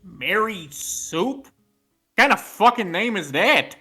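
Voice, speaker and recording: Man putting on a high, brash young scout. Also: big pitch swings, slow pace, pushed and bright, slightly rough, soft background music, full character voice. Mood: cocky. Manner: brash, mouthy, leering wisecracks.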